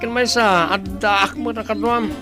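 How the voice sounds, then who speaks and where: A man's voice: sits high, steady tone, a little slow, music in the background. A man with a northern Philippine accent acting a jealous, grumbling young villager in a comedy radio drama. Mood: aggrieved.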